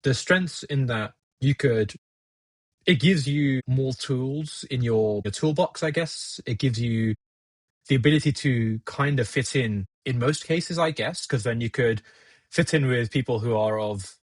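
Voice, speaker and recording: Young man, husky, reflective and wry. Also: medium pitch, a little slow, no echo. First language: Gujarati. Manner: reflective, laughs at himself, talks it through. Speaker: podcast guest. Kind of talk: casual conversation.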